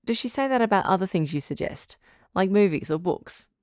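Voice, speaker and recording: A woman playing a calm, firm relationship advice doctor hosting a radio call-in drama, measured and probing. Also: medium pitch, dry recording, studio quality, close to an everyday voice. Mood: firm, supportive.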